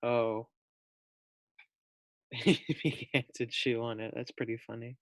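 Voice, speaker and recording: A man reads a children's story aloud like a classroom teacher. His pace is slow and expressive, with pauses for questions to young listeners. The sound is dry. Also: medium pitch, dry recording.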